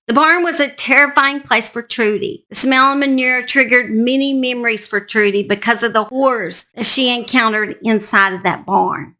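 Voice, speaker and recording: A woman's voice: fairly high pitch, sharp tone. A woman host narrating a true crime story in an informal, plain-spoken, conversational way, speaking straight to her listeners.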